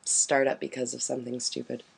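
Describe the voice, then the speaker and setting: Gravelly-voiced woman hosting a radio chat. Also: level tone, brisk. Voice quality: deep smoky voice. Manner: bantering, laughing easily. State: deep raspy voice after quitting smoking. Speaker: radio show host. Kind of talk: casual live radio chat.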